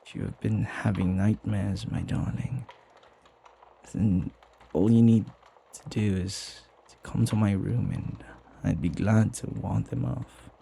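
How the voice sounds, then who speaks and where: A man playing a flirty, devoted butler in a romantic ASMR roleplay, speaking softly and tenderly with teasing and hesitant pauses. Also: on the low side, steady tone, creaky, slight background noise, exaggerated voice. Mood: tender, flirtatious. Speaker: voice actor.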